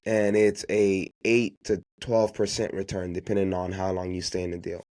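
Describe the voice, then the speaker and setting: A man's voice: sits low, little pitch movement, moderate pace, a little mellow. A man who grew up speaking Haitian Creole gives unscripted motivational business advice as a podcast host, emphatically repeating key phrases and posing rhetorical questions.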